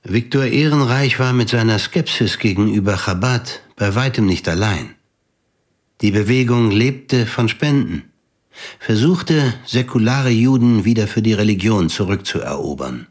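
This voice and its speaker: A man's voice: on the low side, expressive, unhurried. A man narrating an audio drama from a script in a composed, matter-of-fact manner.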